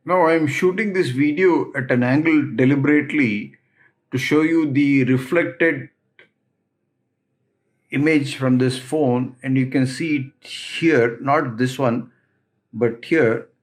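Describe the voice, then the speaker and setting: A man's voice: medium pitch, slow pace. A man methodically explaining equipment as the presenter of a tech tutorial.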